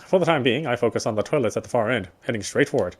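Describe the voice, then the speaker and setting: A man reading first-person visual novel narration quickly, with frequent slips and corrections. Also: medium pitch, expressive.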